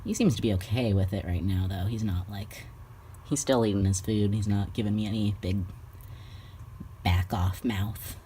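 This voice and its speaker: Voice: low-pitched, fairly even intonation, moderate pace, warm tone, slight background noise. A vlogger chatting casually to camera at home, playful and sweary, cooing like a pet owner. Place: indoors at home.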